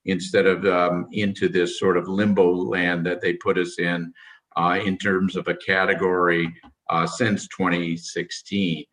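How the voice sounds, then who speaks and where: Deep-voiced official, halting, losing his voice. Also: fairly bright tone, distant mic. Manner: halting, hesitant delivery. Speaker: government official giving testimony. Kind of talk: formal policy briefing.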